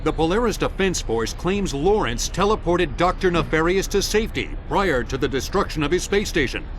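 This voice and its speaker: An actor voicing a smooth male TV news anchor with polished broadcast delivery. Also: on the high side, expressive, moderate pace, a little hiss or hum, lightly acted. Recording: game cutscene with faint music.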